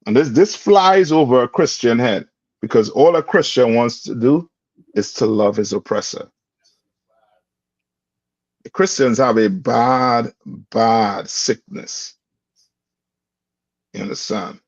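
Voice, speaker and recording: A man's voice: medium pitch, slow pace, pauses often, no echo. Guy running a bible class, keeps pushing students to answer, muffled lo-fi sound. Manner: quizzing, prodding students to answer. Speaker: class instructor. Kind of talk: call-and-response religious class. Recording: dull, dry lo-fi recording.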